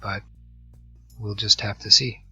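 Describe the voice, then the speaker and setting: A hoarse man with a cold commentating an online card game match, reading out card text with many halting fillers. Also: fairly low pitch, fairly even intonation, bright, forceful tone. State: hoarse from a virus. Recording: dull lo-fi mic with faint music.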